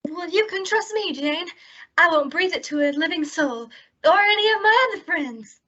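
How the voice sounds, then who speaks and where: Ditzy young innocent, acted by a woman, extremely high, lively bright voice, radio comedy. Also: moderate pace, exaggerated voice. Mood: eager. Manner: guileless, ditzy. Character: sweet, scatterbrained young dumb-blonde type. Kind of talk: old-time radio comedy acting. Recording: dull lo-fi recording.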